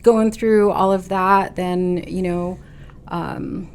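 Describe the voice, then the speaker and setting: A woman with a low voice and a gravelly, creaky edge talks as a podcast guest. She tells her story in an earnest, halting way, full of "um" and "you know." There is faint noise under her voice. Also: steady tone, darkish tone. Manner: stubborn, determined. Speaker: podcast guest, boutique owner. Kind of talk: casual conversational interview.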